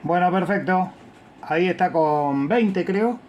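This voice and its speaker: A man's voice: sits high, slow, darkish tone, dull audio, slight background noise. A man with an Argentine accent on a ham radio contact, chatting informally about his gear, often trailing off and restarting while he adjusts it. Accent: Argentine Spanish. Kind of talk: ham radio contact, casual technical chat.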